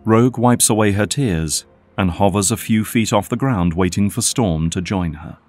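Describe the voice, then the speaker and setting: A man's voice: fairly low pitch, moderate pace, a little mellow, faint music behind. A man narrating a scripted audio drama as its storyteller.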